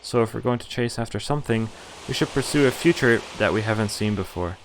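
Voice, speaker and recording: A man's voice: fairly low pitch, fast talker, background noise. A man streaming a visual novel, reading the story aloud in first person with casual asides. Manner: reads story text aloud with casual commentary. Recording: game music behind the voice. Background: game music.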